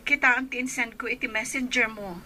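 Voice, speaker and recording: A woman's voice: on the high side, fairly even intonation, normal speed, sharp tone, slight background noise. A woman playing an anxious, caring eldest daughter in a radio drama. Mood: apologetic, subdued. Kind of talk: Ilocano radio drama acting.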